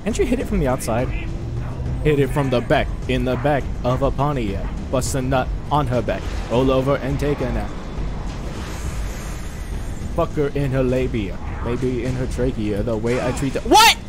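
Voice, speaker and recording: A man giving loud, crude, excitable commentary while he plays a video game, voice going hoarse. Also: mid-range voice, normal speed. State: hoarse, losing his voice. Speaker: gamer streaming gameplay. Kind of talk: casual gameplay commentary. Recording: game music and sounds behind.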